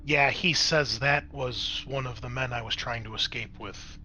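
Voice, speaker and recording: A man's voice: mid-range voice, steady tone, unhurried, bright, forceful tone, slight background noise. A man narrating a tabletop horror game as game master, improvising in a conversational, explanatory way.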